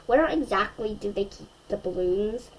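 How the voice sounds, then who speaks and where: A woman's voice: medium pitch, expressive, moderate pace, close to an everyday voice, poor audio. A woman voicing an exasperated but loving mother in a children's storybook, protesting firmly. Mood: annoyed. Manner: protesting, firm.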